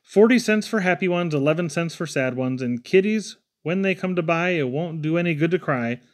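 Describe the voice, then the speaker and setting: A man reads children's verse in a playful, theatrical style. His voice is high for a man. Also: lively intonation, a little fast. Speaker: poetry reader. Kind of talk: playful children's poetry reading.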